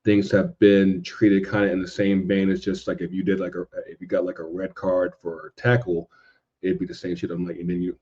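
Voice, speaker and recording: A man chatting casually as a podcast guest, halting and circling back over his phrases. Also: deep voice, level tone, darkish tone, highs cut off. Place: indoors at home in a city.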